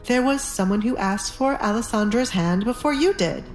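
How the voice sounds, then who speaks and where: A woman's voice: fairly high pitch, expressive, normal speed, faint music behind, pitched above the performer's own voice. A woman voicing a frightened older baron, a nervous, stammering father in an audio romance drama. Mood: nervous, placating.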